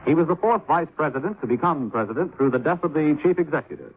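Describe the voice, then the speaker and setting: A man reads a scripted 1950s radio announcement. His voice is slightly high and rises and falls vividly, posing questions to the listener. The recording is thin. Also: lively intonation, fast. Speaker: announcer of an educational spot. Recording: tinny, faintly noisy 1950s radio recording.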